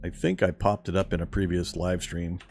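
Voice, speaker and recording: Low-voiced man chatting on a game stream. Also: moderate pace, a little hiss or hum. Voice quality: fairly strong speaking voice. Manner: relaxed, chatty, reads viewer questions aloud and answers. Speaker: gaming streamer. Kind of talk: casual live-stream chat while gaming.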